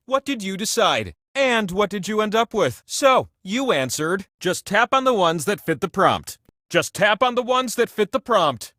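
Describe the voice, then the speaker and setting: Excitable, high-pitched godlike game show wheel. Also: male voice, no echo, clean studio sound, heavily acted. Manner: gushing, mock-grand, playful exclamations. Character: whimsical, grandiose godlike trivia wheel host, excitable and doting. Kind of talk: theatrical scripted game host lines.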